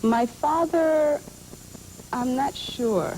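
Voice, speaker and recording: A woman's voice: sits high, drawn-out words, dark tone, slight background noise, poor audio. A woman from Puerto Rico, an actress and singer, talking earnestly and emphatically as a guest in an interview. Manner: earnest, emphatic, repeats points. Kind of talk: casual interview talk.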